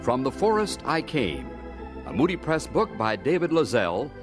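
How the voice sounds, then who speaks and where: A male announcer introduces a radio programme with stately formality. His mid-pitched voice moves dramatically up and down over prominent organ music. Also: huge pitch swings, moderate pace.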